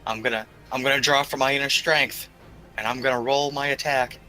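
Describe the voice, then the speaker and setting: A man with a very bright, sharp-edged voice of middling pitch. He talks casually as a player at a tabletop game, with music in the background. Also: fairly even intonation, a little fast, low-quality recording.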